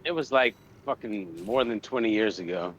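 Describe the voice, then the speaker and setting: A man's voice: lowish voice, expressive, moderate pace, fairly bright tone, slight background noise, rough recording. A man in his late thirties chatting casually with a friend on stream, laid-back and profane. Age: late 30s. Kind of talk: casual chat.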